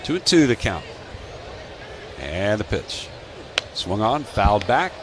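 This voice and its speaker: A man's voice: on the low side, very animated, takes it slowly. American guy doing baseball radio play by play, casual banter, a bit of stadium noise. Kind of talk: live sports commentary with casual banter. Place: stadium broadcast booth open to the field. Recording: clear outdoor ballpark ambience noise.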